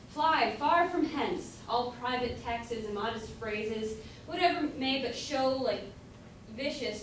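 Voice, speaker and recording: A woman playing a courteous, somewhat exasperated young actor in an amateur staged reading of an old comedy, speaking politely and formally. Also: on the high side. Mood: formal, declaiming. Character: exasperated, courteous young player giving prologue. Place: indoor room at a college, far from mic. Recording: distant echoey room, faint noise.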